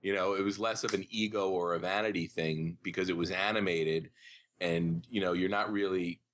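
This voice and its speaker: A man's voice: sits low, steady tone, moderate pace, fairly bright tone, a little hiss or hum. A man co-hosting a podcast, chatting casually and agreeably in commentary on an episode. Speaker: podcast co-host.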